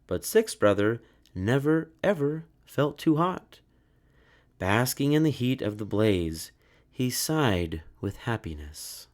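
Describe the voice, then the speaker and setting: A man reading a children's story aloud in a measured, expressive way. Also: medium pitch. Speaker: storybook narrator.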